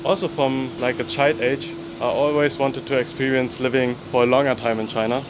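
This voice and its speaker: A man from Germany, answering interview questions in a calm, measured, matter-of-fact way. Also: mid-range voice, background noise. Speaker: interview guest.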